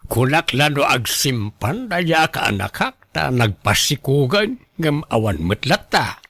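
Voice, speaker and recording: A man playing a concerned, inquisitive, mildly disapproving family relative in a radio drama, questioning in a drawn-out way. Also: mid-range voice, big pitch swings, a bit bright, husky, dry recording, exaggerated voice. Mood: curious, disapproving.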